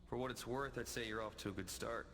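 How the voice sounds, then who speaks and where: An actor playing a brooding, remorseful vampire hero in a video game, serious and earnest with a gravelly voice. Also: male voice, medium pitch, steady tone, fast talker, a little hiss or hum, natural voice. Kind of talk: video game drama acting. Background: loud music.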